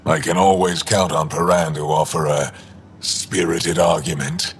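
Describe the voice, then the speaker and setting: An actor playing a gruff, war-hardened alien resistance leader in a video game, terse, dry and cynical with a gravelly voice. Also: male voice, low-pitched, flat delivery, unhurried, heavily acted. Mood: dry, guarded. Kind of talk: video game dialogue acting.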